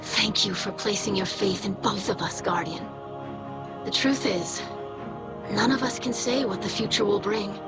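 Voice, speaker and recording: An actress playing an earnest young female alien scribe in a game, gentle and sincere. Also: medium pitch, unhurried, close to an everyday voice. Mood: hopeful. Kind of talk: heartfelt game monologue. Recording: loud music under the voice. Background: music.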